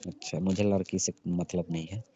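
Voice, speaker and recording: A young man with a low, very mellow voice pleads insistently in a casual phone conversation. The call recording is dull and lo-fi, and he sounds like an emotional, jilted lover. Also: fairly even intonation, moderate pace, husky.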